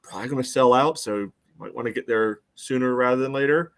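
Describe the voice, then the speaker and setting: A man's voice: medium pitch, fast, a bit bright. A man co-hosting a sports podcast in casual conversation.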